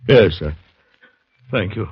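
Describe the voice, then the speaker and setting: A man's voice: fairly low pitch, lively intonation, slow pace, slightly rough, no echo, exaggerated voice. An actor playing a terrified, shell-shocked fugitive, telling his story in tense, clipped phrases in 1940s radio drama style. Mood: dazed, shaken. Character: terrified, shell-shocked man fleeing a murder scene. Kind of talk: 1940s radio drama first-person narration. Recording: muffled vintage radio with music behind.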